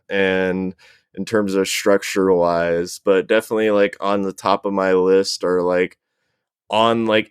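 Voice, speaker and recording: Man, low pitch, clean smooth tone, dry close sound, casual, halting, filler-heavy. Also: dry recording. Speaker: podcast panel guest. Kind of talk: casual chat.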